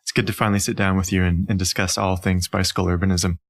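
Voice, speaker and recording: A young man with a Canadian accent speaks as a podcast guest, quick and eager, with a low-pitched voice. He talks fast and keeps the conversation moving, with small fillers and restarts along the way. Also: little pitch movement. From Canada, Ontario. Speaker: guest, urban planner. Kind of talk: conversational interview.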